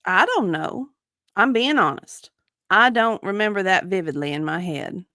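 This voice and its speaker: A woman's voice: medium pitch, lively intonation, normal speed, bright, forceful tone, no echo, clean studio sound. A woman reading the part of a guarded, evasive witness in a dramatic deposition reading, with careful, hedging answers. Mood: evasive, earnest. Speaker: dramatic reader.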